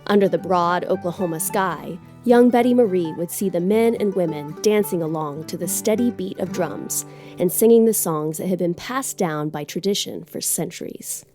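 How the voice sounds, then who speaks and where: Woman, mellow dark tone, slightly low pitch, measured storytelling narration, faint music behind. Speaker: guest story reader.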